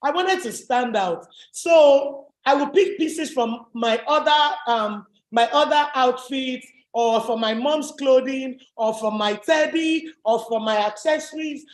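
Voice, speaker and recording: A woman with a deep voice, giving an emphatic, motivational webinar talk as a business coach. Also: measured pace. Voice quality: deep, low voice. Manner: emphatic, repetitive, rallying. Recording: echoey room.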